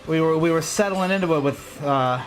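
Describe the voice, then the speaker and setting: A man giving casual, joking commentary while he plays a racing game on a livestream, reacting out loud to every turn. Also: on the high side, fairly quick, soft background music. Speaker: livestream gamer.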